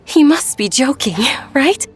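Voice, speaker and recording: Timid, high-voiced young lady. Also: animated, a little slow, over music. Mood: nervous. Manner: hesitant, stammering. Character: gentle, timid young lady of good family. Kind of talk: video game dialogue acting.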